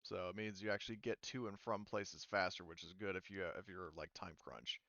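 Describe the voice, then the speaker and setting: A man running a tabletop game, explaining rules in a casual, halting, hedging way. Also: low-pitched, pushed and bright, dry recording, muffled recording. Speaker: game master.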